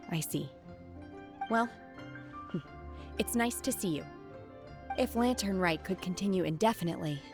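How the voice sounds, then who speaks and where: A woman playing a reserved, earnest outsider in a video game, speaking plainly and with quiet sincerity. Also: mid-range voice. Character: reserved, earnest woman unused to human ways.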